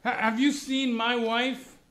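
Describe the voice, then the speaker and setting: A man plays a wary, sour cab driver in a radio drama. His voice is high and bright in an echoing room. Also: level tone, slow pace, full character voice. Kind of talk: radio play acting. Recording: roomy, echoing sound.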